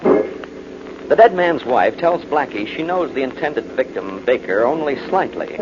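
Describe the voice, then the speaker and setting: Radio drama announcer, male: scripted recap, swinging delivery, prominent music behind, dull 1940s recording. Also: medium pitch. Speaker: announcer narrator. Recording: muffled vintage radio recording.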